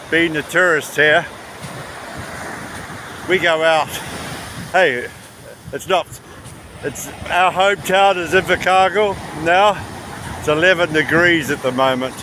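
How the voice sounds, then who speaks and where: A man giving casual, rambling commentary as a travel vlogger, walking outdoors along a beach. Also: fairly high pitch. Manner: rambling, chatty. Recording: windy outdoor recording with surf noise. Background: wind, waves, outdoor ambience.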